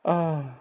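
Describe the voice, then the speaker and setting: A hoarse teenage man whose voice is almost gone. He commentates a sim-racing event and then slips into loose, filler-heavy chat as the stream host. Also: a little high, lo-fi sound, heavy background noise. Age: teens. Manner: shouts calls at the finish, then reads results and chats loosely with fillers.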